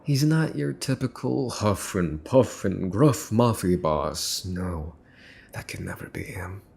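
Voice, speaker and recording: Casting: male actor as arrogant young crime heir for ASMR roleplay, menacing and teasing, very unhurried delivery, some background noise. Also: fairly low pitch, vocal fry, a little mellow, heavily acted. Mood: wry, confiding. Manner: mocking, theatrical, deliberate, dropping into whispers. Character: smug, menacing, teasing young mafia boss's son. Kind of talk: ASMR roleplay, dramatic monologue to the listener.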